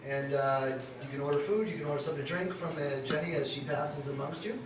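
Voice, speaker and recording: High-voiced man hosting in an echoey club. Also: steady tone, fast talker, background noise. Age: middle-aged. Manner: rambling, joking. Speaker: show host, comedian. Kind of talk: informal stage introduction to a live audience. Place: comedy club stage. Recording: echoey club recording from far off. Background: audience responses.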